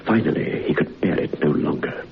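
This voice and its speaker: A man narrating an old radio drama in a measured, ominous way. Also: faint music behind. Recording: dull old radio recording with hiss.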